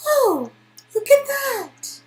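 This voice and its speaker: Woman acting eager, awkward, high-voiced geeky young woman. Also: very slow, heavily acted. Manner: flustered, earnest, excitable.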